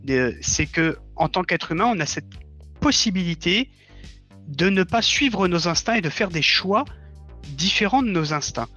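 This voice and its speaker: A man's voice: high voice, animated, measured pace, sharp tone, muffled recording, faint music behind. A man speaking as a guest in a conversational interview, explaining his views earnestly. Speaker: interview guest, audio fiction writer.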